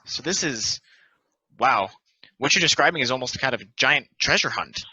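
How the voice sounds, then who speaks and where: A man playing an excitable, astonished newcomer asking questions in an amateur table read. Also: medium pitch, normal speed, breathy edge, no echo, full character voice. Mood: curious. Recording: dull lo-fi home microphone.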